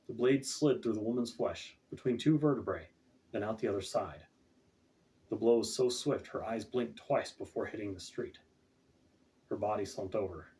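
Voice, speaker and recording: A man's voice: on the low side, a little mellow, a little hiss or hum. A man reading his own fantasy fiction aloud as scripted audiobook narration. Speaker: author reading own book. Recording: distant, off-mic home recording.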